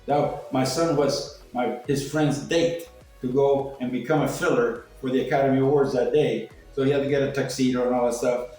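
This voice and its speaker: A man's voice: mid-range voice, fairly even intonation, moderate pace, a little mellow. An older man from Cuba tells personal stories in a casual, rambling, salty way, acting out what people said. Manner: rambling, salty, acts out quoted remarks. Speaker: speaker telling personal stories. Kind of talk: casual anecdotal talk. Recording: echoey room, distant mic, faint music.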